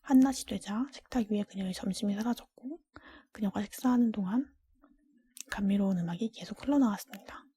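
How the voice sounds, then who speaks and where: A woman reads a storybook fairy tale in an ASMR style, narrating in a soft, unhurried, breathy whisper. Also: mid-range voice. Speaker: storybook reader.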